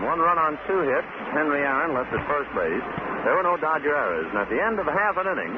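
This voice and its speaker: A man doing play-by-play baseball commentary from a ballpark booth, in steady descriptive calls that rise on big plays. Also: on the high side. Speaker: play-by-play announcer. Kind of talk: live baseball radio commentary. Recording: tinny 1950s radio broadcast with loud hiss.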